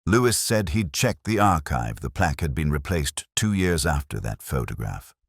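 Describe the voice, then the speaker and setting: A man playing a world-weary police inspector, recounting a case in measured, literary, reflective detective narration. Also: extremely low pitch, very animated, breathy edge, dry recording, clean studio sound, exaggerated voice. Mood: somber, watchful. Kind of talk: scripted first-person detective story narration.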